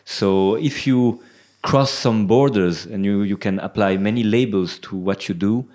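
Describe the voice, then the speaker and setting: Hesitant low-voiced Swiss man. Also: measured pace, darkish tone. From Switzerland. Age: 30s. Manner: thoughtful, hesitant, repeating words. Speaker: band member, guest. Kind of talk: casual interview chat.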